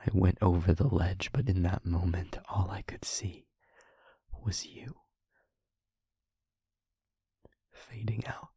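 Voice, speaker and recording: Male actor as sorrowful wounded demon, low husky voice, half-whispered, slow and halting, solemn, close dry recording, intimate audio drama. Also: warm tone, no echo, exaggerated voice. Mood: anguished. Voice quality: breathy, husky near-whisper with gravelly edge. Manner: slow, halting, solemn delivery in short phrases.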